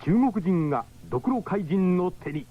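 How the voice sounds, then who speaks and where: Animated dramatic radio narrator. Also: male voice, high-pitched, normal speed. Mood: ominous. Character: dramatic narrator of a sci-fi tale. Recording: tinny 1970s radio, loud music.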